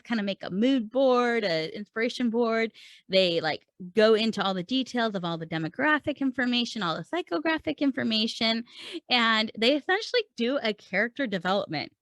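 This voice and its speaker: A woman's voice: mid-range voice, fairly bright tone, no echo, clean studio sound. A woman podcast host giving a conversational, informal lesson, explaining things step by step and posing questions to her listeners. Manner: informal, explanatory, asks and answers own questions. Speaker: podcast host and writing coach. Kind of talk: conversational instructional monologue.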